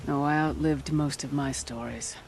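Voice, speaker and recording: An actress playing a seasoned older huntress who trains hunters, wry and blunt, in video game dialogue. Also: female voice, a bass voice, steady tone, moderate pace, vocal fry, darkish tone, faint music behind. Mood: wry, proud. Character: seasoned old huntress, wry trial keeper.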